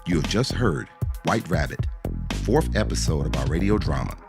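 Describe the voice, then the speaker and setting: A man reading scripted radio drama narration in a measured, explanatory way. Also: sits low, animated. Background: music.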